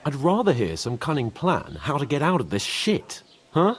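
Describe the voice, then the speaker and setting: A man's voice: medium pitch, huge pitch swings, normal speed. An actor playing a hot-tempered, sarcastic young nobleman down on his luck in a medieval video game. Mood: sarcastic, exasperated. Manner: sarcastic, swearing, griping. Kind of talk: video game cutscene acting.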